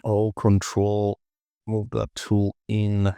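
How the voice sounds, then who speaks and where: A man narrating a casual step-by-step software tutorial in short instructions, often repeating phrases and asking himself questions. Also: lowish voice, monotone, slow pace, clean tone, no room sound, studio quality.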